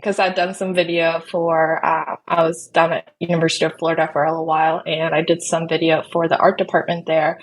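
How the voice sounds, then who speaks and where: A woman's voice: low voice, little pitch movement, a little fast, hardly any pauses, low-quality recording. A woman in her thirties from Sweden, a native Swedish speaker, telling her own story as a guest in a casual interview. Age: 30s. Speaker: podcast guest, wedding videographer.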